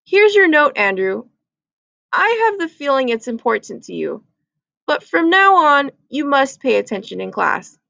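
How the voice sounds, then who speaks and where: A woman's voice: high-pitched, sing-song, normal speed, creaky, fairly bright tone, dry recording, lo-fi sound, exaggerated voice. A woman voicing a stern but fair female schoolteacher in a storybook. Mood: kindly firm.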